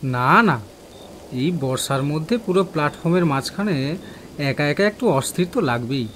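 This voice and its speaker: A man's voice: a little high, lively intonation, normal speed, fairly bright tone, slight background noise. A man playing a polite, slightly nervous office worker stranded at night in an audio horror drama. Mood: uneasy, polite.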